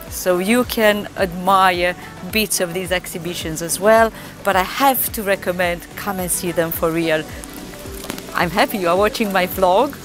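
A woman's voice: medium pitch, a bit bright. A woman in her thirties talking casually to the camera as a travel vlogger, upbeat and chatty, with the odd hesitation, outdoors in a city.

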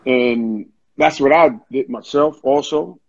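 A man's voice: medium pitch, slow pace, gravelly. A man hosting a casual interview over a video call, talking in a slangy, encouraging way. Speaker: interview host. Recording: dull, muffled video-call audio.

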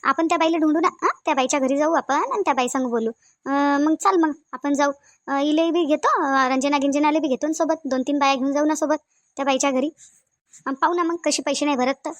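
Woman acting a high-pitched, insistent village sister-in-law. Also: a little fast, lightly acted. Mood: reassuring. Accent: rural Vidarbha Marathi. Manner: insistent, repetitive, reasoning. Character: sensible, protective village sister-in-law calming a quarrel. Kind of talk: amateur Marathi village family drama.

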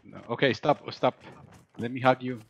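A man from Mexico trades jokes with friends during an online game, hamming up a mock persona with a teasing, laughing manner. His voice sits at a middling pitch, with a faint hiss behind him. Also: steady tone, moderate pace, a bit bright, muffled recording. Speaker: streamer playing with friends. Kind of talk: casual gaming banter in character.

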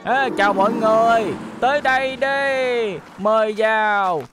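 A man voicing a bumbling, eager, fat villain's henchman in an animated cartoon, flustered and exclamatory, with a southern Vietnamese accent. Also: extremely high pitch, a little slow. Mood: hawking. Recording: music behind the voice.

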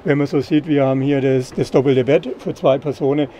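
A middle-aged man explaining product features in an interview, slightly halting and repeating words. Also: medium pitch, fairly even intonation, warm tone. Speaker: caravan company representative. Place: caravan at an indoor trade fair. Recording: clear background noise of busy trade fair.

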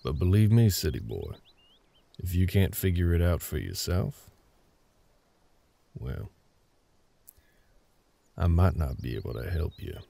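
A man voicing a gruff, terse older cowboy with a Southern US drawl and a gravelly voice in a roleplay audio drama. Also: sits low, sing-song, unhurried, pauses often, warm tone, exaggerated voice. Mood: grudging, curt. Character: gruff older cowboy ranch hand, grumpy, curt, grudgingly helpful.

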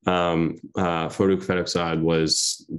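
A man in his thirties, a poet from Iran, giving a soft-spoken, hesitant poetry reading over a home video call. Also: low-pitched, flat delivery, slow pace, clear, resonant tone. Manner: soft-spoken, hesitant with many fillers between poems. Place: home room with framed pictures on the wall. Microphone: laptop webcam video call.

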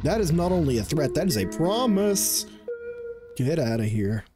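A man's voice: on the high side, very animated, normal speed. A man in his thirties giving casual, jokey gameplay commentary, often repeating himself and swearing, on a close desk mic. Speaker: gaming video host. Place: indoor gaming setup. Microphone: close desk microphone and headset. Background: game music.

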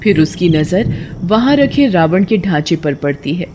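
A woman's voice: on the low side, very animated, unhurried, background noise. A woman reading scripted narration as the storyteller of a children's tale.

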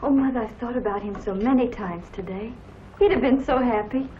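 A woman's voice: a little high, brisk, close to an everyday voice. An actress playing an eager, idealistic young college woman in effusive old Hollywood style. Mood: excited, dreamy. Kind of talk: old Hollywood film acting. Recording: muffled, noisy old film soundtrack.

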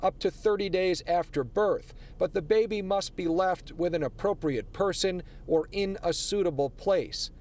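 A young man doing a live news report outdoors on a city sidewalk, speaking into a lapel mic with a brisk, emphatic delivery. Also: high-pitched, darkish tone. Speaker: field reporter. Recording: street traffic noise behind.

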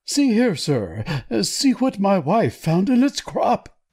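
A man's voice: high voice, huge pitch swings, slow, a little mellow, full character voice. A man playing a flustered, humble commissionaire in a dramatic audiobook, stammering and gasping in astonishment. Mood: excited.

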